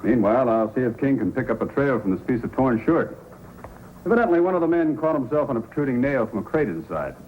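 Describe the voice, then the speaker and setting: An actor playing a calm, courteous police sergeant who questions methodically, in old-time radio style. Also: male voice, mid-range voice, fast, narrow, tinny audio, natural voice. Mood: businesslike. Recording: noisy old radio recording.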